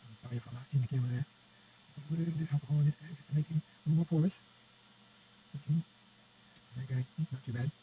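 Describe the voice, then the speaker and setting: A man talks viewers through hands-on work in a casual, step-by-step way, half to himself. His pace is quick. The recording is somewhat dull and lo-fi, with faint noise behind the voice. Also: a little high, steady tone. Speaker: presenter of a radio repair how-to. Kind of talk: casual hands-on demonstration talk. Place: indoors at a repair workbench.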